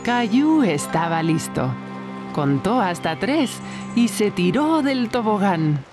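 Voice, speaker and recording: Una mujer que narra una historia infantil con guion, como cuentacuentos. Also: female voice, mid-range voice, very animated, slow, over music. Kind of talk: scripted children's storytelling narration.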